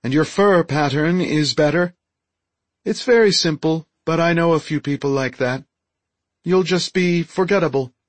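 A man voicing an excitable, frank young furry alien in a squeaky, eager voice for an audiobook story. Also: normal speed, no room sound, close to an everyday voice. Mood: appraising. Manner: eager, blunt, simply worded.

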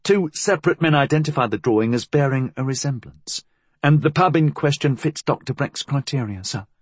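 A man's voice: mid-range voice, lively intonation, brisk, husky, dry recording, voice pushed up. A man voicing a nervous, deferential police inspector in an audiobook, hesitant and formally polite. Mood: uneasy, hesitant.

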